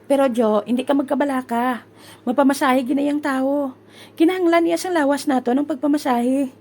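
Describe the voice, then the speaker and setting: A woman's voice: high voice, normal speed, a little mellow. A woman playing an affectionate, eager Filipino bride-to-be in a comic audio drama, chatty and reassuring.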